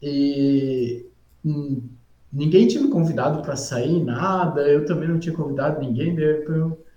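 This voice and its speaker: Mellow-voiced man. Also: on the high side, a little slow, highs cut off. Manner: relaxed, rambling, with frequent "tá?" and "sabe?" tags. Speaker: podcast guest, civil engineer. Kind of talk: casual storytelling. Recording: roomy echo.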